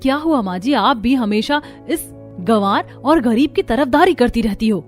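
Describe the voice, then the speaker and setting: A woman's voice: fairly high pitch, animated, normal speed, close to an everyday voice. A woman voicing a snobbish, disdainful elder sister-in-law in a cartoon story, complaining with a sneer. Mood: scornful. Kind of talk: Hindi cartoon story dialogue. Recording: music behind the voice.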